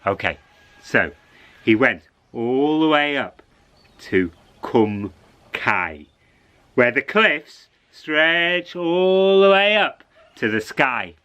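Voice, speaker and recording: A man telling a story to children in an expressive, encouraging way, repeating phrases for dramatic suspense. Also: mid-range voice, drawn-out words, clear, resonant tone, dull audio.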